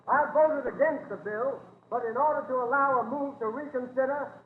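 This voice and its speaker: An actor playing a formal, declamatory legislator in a 1930s radio drama. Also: male voice, extremely high pitch, normal speed. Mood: formal, insistent. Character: formal legislators and presiding speaker debating in chamber. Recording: scratchy, roomy, narrow-band 1930s radio.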